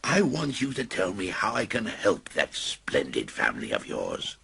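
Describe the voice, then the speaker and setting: A man reading a storybook aloud, voicing an old male miser who turns frantic and overjoyed, high and breathless. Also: huge pitch swings, normal speed, vocal fry, full character voice. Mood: jolly. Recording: music playing behind.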